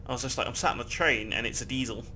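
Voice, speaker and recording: A man's voice: mid-range voice, rapid-fire, bright, forceful tone, a little hiss or hum. A man casually chatting and rambling while he plays a game, swearing freely and laughing often. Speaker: gameplay video host. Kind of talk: casual gameplay commentary.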